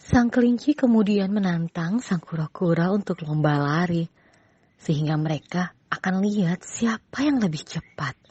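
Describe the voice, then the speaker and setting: A woman's voice: lowish voice, moderate pace, a little mellow, slightly rough, no room sound, muffled recording. A woman narrating a scripted children's story as a storyteller.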